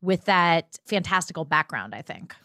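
A woman's voice: on the low side, lively intonation, moderate pace, bright, forceful tone, no room sound, studio quality. A woman chatting casually as a podcast guest. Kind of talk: casual chat.

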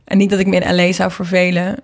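A woman's voice: on the low side, completely flat delivery, quick pace. A woman chatting casually in an interview, with an informal, rambling way of talking. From Netherlands. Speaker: singer and producer being interviewed.

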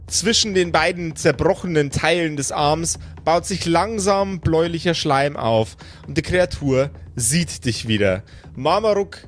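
A man working as game master, narrating an improvised tabletop adventure in deliberate, descriptive phrases. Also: on the high side. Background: music under the voice.

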